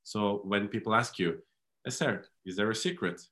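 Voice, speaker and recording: A man's voice: fairly low pitch, moderate pace, a bit bright. A man hosting an interview, asking friendly, guiding questions and summarizing the answers. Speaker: interview host.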